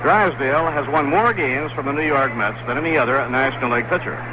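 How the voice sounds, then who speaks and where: A man doing play-by-play commentary of a baseball game on radio, steady and even with quick rises on plays. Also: mid-range voice. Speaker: play-by-play announcer. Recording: noisy old 1960s radio broadcast.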